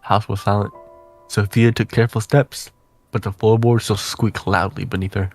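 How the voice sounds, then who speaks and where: A man reading a visual novel aloud as dramatic narration, with deliberate, suspenseful phrasing. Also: lowish voice, flat delivery, faint music behind.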